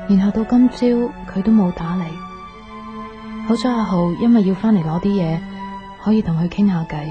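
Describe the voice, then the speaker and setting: A woman's voice: lowish voice, close to an everyday voice. Actress as young hong kong cantonese woman, thoughtful, radio drama, bit muffled w music under. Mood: pensive, troubled. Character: young Hong Kong woman back from studying in America, pensive. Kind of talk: radio drama acting, natural conversational.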